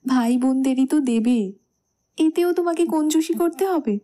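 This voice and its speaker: Romantic audio drama: actress as loving young bride, high, mellow voice, shy and sweet, over music. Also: female voice, normal speed, natural voice. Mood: gently chiding. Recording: music bed under the voice.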